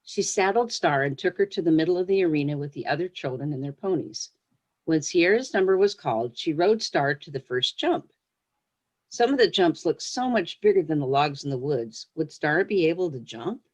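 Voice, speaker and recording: Low-pitched woman, children's author. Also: lively intonation, normal speed. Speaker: children's book author, guest. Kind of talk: storybook read-aloud, then casual interview answers.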